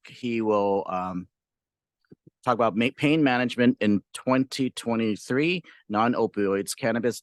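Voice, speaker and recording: A man's voice: lowish voice, a bit bright, dry recording. A man introducing the next speaker at a conference, hesitant, with fillers. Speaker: conference moderator.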